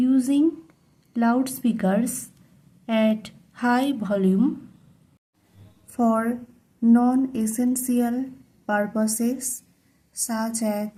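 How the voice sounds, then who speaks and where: Very slow, mellow woman tutor. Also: fairly high pitch, poor audio. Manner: deliberate word-by-word phrasing. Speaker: English tutor. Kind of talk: slow dictation-style bilingual reading of a model letter.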